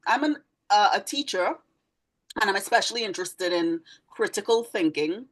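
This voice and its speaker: Lower-pitched Trinidadian woman explaining herself. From Trinidad and Tobago. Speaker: interview guest, teacher and poet. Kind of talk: conversational interview answers.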